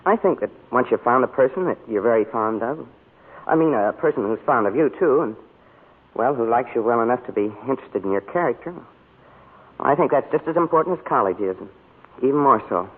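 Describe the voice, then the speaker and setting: An actor playing a shy, awkward young groom in a 1940s radio drama, hesitant and stammering. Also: male voice, medium pitch, animated, a little fast, slightly rough. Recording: tinny old radio broadcast.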